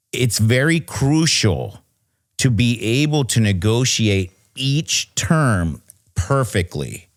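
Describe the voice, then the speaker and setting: A male co-host speaks slowly, in a low voice, in casual podcast conversation. He rambles, breaking off and restarting his sentences.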